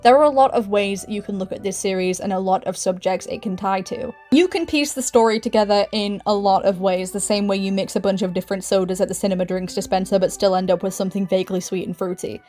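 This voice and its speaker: A woman's voice: medium pitch, fairly even intonation, fast, hardly any pauses, dark tone. Chatty woman narrating over music. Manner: informal, self-deprecating, chatty. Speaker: video essayist, channel host. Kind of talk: scripted video essay narration with casual asides.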